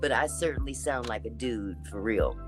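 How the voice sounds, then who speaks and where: Woman with a deep raspy voice. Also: expressive, moderate pace, music in the background. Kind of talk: casual chat.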